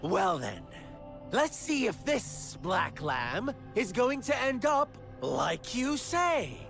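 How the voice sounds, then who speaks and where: Theatrical taunting villain, swooping pitch. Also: male voice, huge pitch swings, creaky, fairly bright tone, soft background music, exaggerated voice. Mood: amused menace. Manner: theatrical, teasing, drawn-out phrasing. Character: theatrical, taunting male villain, playfully menacing storyteller. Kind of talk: dramatic video game voice acting.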